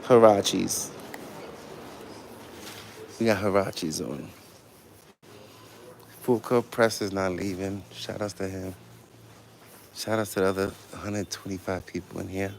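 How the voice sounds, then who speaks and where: A man's voice: deep voice, fairly even intonation, takes it slowly, creaky, long pauses. A man livestreaming casually from a public building, chatting to viewers in blunt, slangy, profane talk. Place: public library building, on the move. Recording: noisy live handheld stream.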